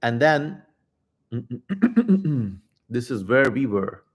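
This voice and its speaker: A man speaks as the host of a casual livestream. His voice sits at a medium pitch. He talks informally, with a wry edge, and drifts into asides as he goes. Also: sing-song, very slow, breathy edge.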